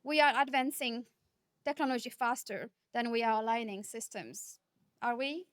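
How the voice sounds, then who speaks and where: A woman from Nepal, giving a conference keynote speech in a measured, earnest manner, often posing rhetorical questions. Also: on the high side, dry recording, clean studio sound. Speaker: keynote speaker.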